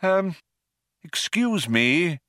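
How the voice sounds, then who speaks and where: A man's voice: sits high, sing-song, very slow, fairly bright tone, no echo, clean studio sound, voice pushed up, exaggerated voice. A man voicing a polite, easily wounded old craftsman in an audiobook, hesitant, proud and mournful. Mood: flustered, polite. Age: older. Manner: hesitant, courteous, indignant. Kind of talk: audiobook character voice.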